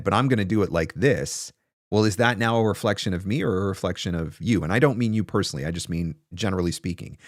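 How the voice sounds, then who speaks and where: Low-voiced man giving casual advice. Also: no room sound, clean studio sound. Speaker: host, voice-over marketing coach. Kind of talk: casual livestream advice talk, answering comments. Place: small indoor home studio. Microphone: close desk microphone.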